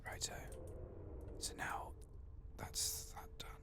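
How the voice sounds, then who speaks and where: A man giving hushed, low-key ASMR game commentary, murmuring softly and sometimes dropping into a whisper. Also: slow pace. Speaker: video game streamer.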